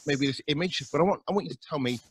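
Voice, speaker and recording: A man's voice: fairly high pitch, very fast, breathy edge, no room sound. A man hosting an interview show, asking questions with eager enthusiasm and frequent restarts. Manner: warmly enthusiastic, with stumbles and restarts. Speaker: show host.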